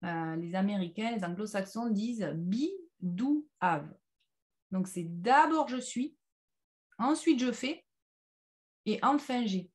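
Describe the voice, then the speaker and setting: A woman leading an informal online personal development workshop, speaking haltingly and leaning on key phrases with everyday examples. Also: medium pitch, expressive. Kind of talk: informal interactive online workshop talk with slides.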